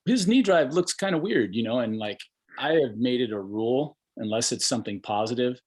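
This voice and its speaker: A middle-aged American man hosting a casual podcast interview, talking in a rambling, colloquial and enthusiastic way. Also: on the low side. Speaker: podcast host.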